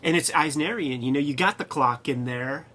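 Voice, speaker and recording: Higher-voiced man excitedly riffing on comics. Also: normal speed. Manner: slangy, profane, riffing. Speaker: co-host of a comics review show. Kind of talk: casual, excited comic-book discussion.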